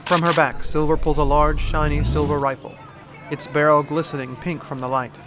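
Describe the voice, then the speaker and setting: A man's voice: fairly high pitch, level tone, moderate pace. Male drama narrator over music. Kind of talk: scripted audio drama narration. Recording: music behind.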